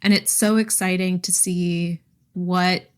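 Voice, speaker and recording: A woman's voice: fairly low pitch, fairly even intonation, takes it slowly, clear, resonant tone. A woman co-hosting a podcast, discussing books in a casual, conversational way. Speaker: podcast co-host.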